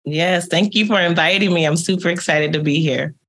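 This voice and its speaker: A woman's voice: on the low side, a little fast, a bit bright. Woman, from Texas, therapist, podcast guest, earnest, flowing. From Texas, USA. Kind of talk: personal storytelling in an interview.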